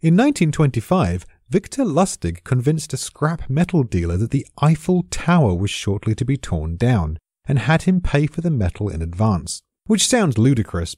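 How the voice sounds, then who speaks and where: A man's voice: medium pitch, warm tone, breathy edge, dry recording, clean studio sound. A British man giving scripted explainer narration, in a wry, erudite storyteller's manner. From Britain. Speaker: expert narrator.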